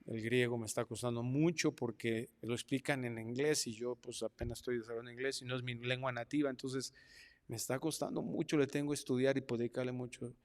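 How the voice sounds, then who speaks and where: A middle-aged man with a Mexican accent delivers a sermon in an ordinary mid-range voice. He preaches with animation, dropping into quiet asides and rising loud to mock excuses. A long reverb from the church hall trails each phrase. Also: medium pitch, fairly even intonation, brisk. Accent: Mexican Spanish. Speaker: pastor.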